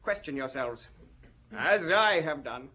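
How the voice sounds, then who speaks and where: Theatrical lawyer, high-pitched, sharp bright tone, pompous, insinuating, radio drama oratory. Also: male voice, lively intonation, takes it slowly, exaggerated voice. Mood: pompous, mock reluctant. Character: flamboyant, insinuating male French defence lawyer addressing press.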